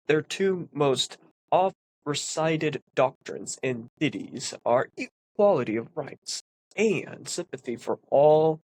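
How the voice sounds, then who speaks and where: A man with a stuffed-up, sniffly voice, at a middle pitch, reads philosophy aloud and comments on it. He talks earnestly and explains each point, leaning hard on key words. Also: rough recording. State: congested, sniffly. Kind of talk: reading and analysis of a philosophy text.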